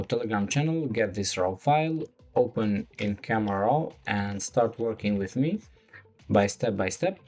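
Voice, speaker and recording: A man with a lowish voice, speaking English as a second language, walking through a retouching tutorial. He talks in a slow, halting way, full of fillers. Faint music plays softly underneath. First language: not English. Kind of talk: screen-recorded software tutorial.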